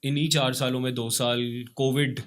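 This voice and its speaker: A man's voice: mid-range voice, little pitch movement, normal speed, a bit bright. Middle-aged Pakistani man in conversational interview. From Lahore, Pakistan. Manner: mixes English phrases into speech. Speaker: podcast guest, HR consultant and facilitator. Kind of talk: conversational interview, reflective storytelling.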